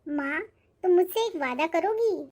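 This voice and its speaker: A loving young cartoon daughter performed by a woman with a very high voice. She is affectionate and insistent. She coaxes gently, in a pleading way. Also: lively intonation, normal speed. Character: loving, insistent grown daughter about to marry. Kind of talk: Hindi cartoon moral-story voice acting.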